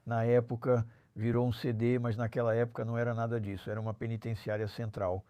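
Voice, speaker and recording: A man's voice: medium pitch, flat delivery, fast talker, warm tone, smooth, no echo, muffled recording. A man in his seventies telling his life story as an interview guest, blunt and colloquial, often ending phrases with little tag questions. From Normandy, France. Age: 70s. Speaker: interview guest, former armed robber. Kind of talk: conversational life-story recounting.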